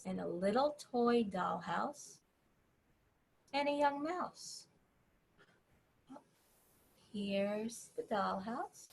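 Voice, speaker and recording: A woman reading a bedtime story to young children, gently encouraging them and pausing to ask questions. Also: mid-range voice, animated, vocal fry, slight background noise.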